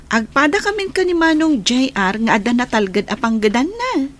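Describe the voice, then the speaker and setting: A woman's voice: high voice, big pitch swings, normal speed. A young woman with an Ilocano accent playing a caring but firm daughter in an audio drama. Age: young adult.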